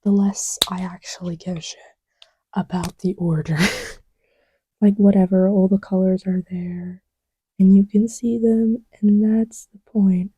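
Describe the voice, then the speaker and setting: A woman's voice: mid-range voice, fairly even intonation, measured pace, warm tone, slightly rough, no room sound, rough recording. A woman chatting casually and intimately in a playful, rambling, teasing way, often dropping to a near-whisper, as if to a partner beside her. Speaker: audio roleplay creator playing a partner to the listener. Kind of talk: casual intimate roleplay chat while testing markers.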